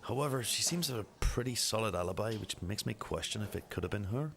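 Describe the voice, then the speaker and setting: Man playing a low-voiced, probing police detective. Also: lively intonation, fairly bright tone. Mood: musing. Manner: measured, formal questioning. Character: methodical male police detective, probing and matter-of-fact. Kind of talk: amateur radio drama acting.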